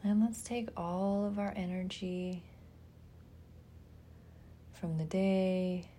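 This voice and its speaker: A woman leading a guided meditation in a soft, soothing manner, pausing often and trailing into breathiness. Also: lowish voice, fairly even intonation, slight background noise.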